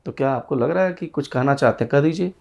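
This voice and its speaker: A man interviewing a guest for a channel. He has a sore throat, asks drawn-out rhetorical questions and turns to address viewers directly. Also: mid-range voice, vocal fry, a little mellow, highs cut off.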